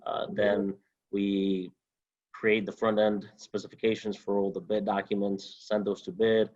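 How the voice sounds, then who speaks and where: Low, flat-voiced young man from Honduras. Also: muffled recording. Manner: halting, many uh fillers, steady. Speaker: alumnus guest. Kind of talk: interview answers.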